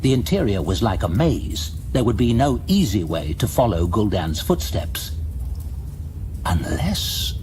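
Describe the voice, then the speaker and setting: A man's voice: on the low side, sing-song, measured pace, slight background noise. A man giving a dramatic scripted narration of a fantasy audio drama. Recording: loud dramatic music under the voice. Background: music.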